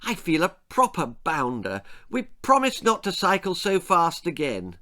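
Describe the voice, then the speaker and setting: A man's voice: high-pitched, huge pitch swings, measured pace, pushed and bright, pitched above the performer's own voice, exaggerated voice. A man voicing a hearty, apologetic British schoolboy with plummy, exclamatory old-fashioned adventure-book manners. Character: hearty posh schoolboy older brother, breezily apologetic.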